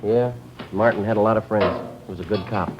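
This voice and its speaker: An actor playing a stoic police detective, narrating and talking in a clipped, matter-of-fact way, in a 1950s radio drama. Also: male voice, fairly low pitch, steady tone, brisk, noisy background, lightly acted. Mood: weary. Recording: dull old radio broadcast with music.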